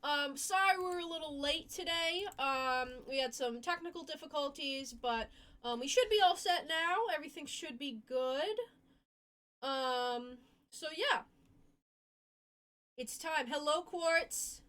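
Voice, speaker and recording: A woman hosting a live stream in casual chat, halting and full of "um" fillers. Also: high voice, fairly bright tone. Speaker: podcast host.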